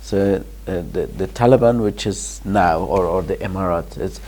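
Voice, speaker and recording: A man from Afghanistan answering interview questions as a guest, pausing and restarting often. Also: low voice, darkish tone, slight background noise. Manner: hesitant, many restarts and fillers, laughs in places. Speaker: guest, charity founder.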